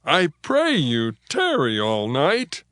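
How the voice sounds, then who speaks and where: A man playing a hospitable, insistent father-in-law in a dramatized scripture reading, coaxing in drawn-out archaic English. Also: on the high side, wildly swooping pitch, a bit bright, dry recording, studio quality, full character voice. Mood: pleading, insistent. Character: hospitable, insistent father-in-law urging his guest to stay.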